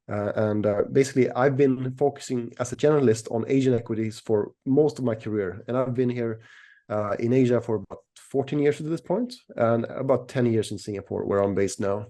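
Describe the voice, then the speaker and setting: A middle-aged man from Sweden explaining things as an interview guest, in a measured, slightly hesitant conversational way, often repeating words. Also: medium pitch, warm tone. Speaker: interview guest, equities analyst and newsletter writer.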